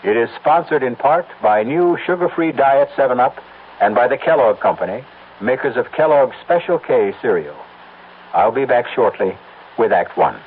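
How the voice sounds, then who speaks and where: Man, sponsor announcer, husky, formal, phone-thin broadcast. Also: mid-range voice, expressive, moderate pace. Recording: tinny lo-fi old radio broadcast.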